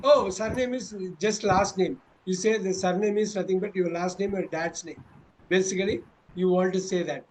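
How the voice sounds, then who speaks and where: A man with a high voice and an Indian English accent runs a mock speaking exam for his students. He coaches them through each question and keeps repeating his praise. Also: steady tone, normal speed, slight background noise, poor audio. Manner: encouraging, repeats praise often.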